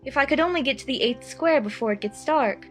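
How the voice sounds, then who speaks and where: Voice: sits high, brisk, soft background music, pitched above the performer's own voice, lightly acted. A narrator voicing a curious, chatty little girl who muses to herself in a children's audiobook. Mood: resolved, musing. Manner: musing aloud to herself.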